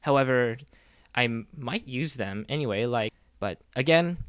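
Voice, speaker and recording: A man's voice: mid-range voice, animated, unhurried, a bit bright, no echo. A man explaining instructions casually as a project director, informal, rambling and encouraging. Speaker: director of a fan dub project giving audition instructions. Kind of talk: casual semi-scripted explainer.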